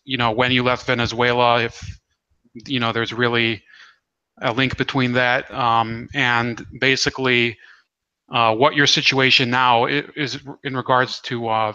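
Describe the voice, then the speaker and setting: A man hosting a casual interview in a halting way, as if thinking aloud. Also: mid-range voice, flat delivery, pushed and bright, smooth, dry recording, muffled recording. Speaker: interview host.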